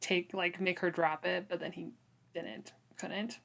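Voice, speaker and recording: A woman's voice: on the low side, flat delivery, unhurried, gravelly, fairly bright tone. A woman co-hosting a podcast, talking over a book informally with loose, trailing phrasing. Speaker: podcast co-host. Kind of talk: casual book discussion chat.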